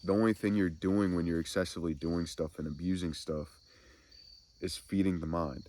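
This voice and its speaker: A man hosting a soft-spoken spiritual ASMR talk, congested and nasal from a cold, musing slowly and reflectively. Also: fairly even intonation, a little hiss or hum. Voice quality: deep, gravelly. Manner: slow, meandering reflective musing. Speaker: ASMR spiritual channel host.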